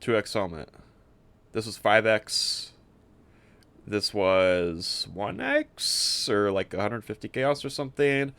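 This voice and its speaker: A man's voice: lowish voice, sing-song, takes it slowly, a bit bright, clean tone. A man giving casual gameplay commentary as a streamer, offhand and a little hesitant, thinking aloud as he goes. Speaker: streamer showing a game character build.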